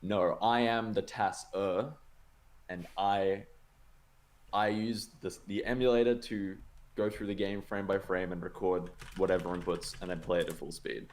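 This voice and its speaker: A man's voice: low voice, steady tone, measured pace, slight background noise. A man explaining his own gameplay video in casual chat, rambling with lots of likes and frequent laughs. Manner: rambling, filler-heavy with many likes, laughs often. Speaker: guest, speedrun video creator.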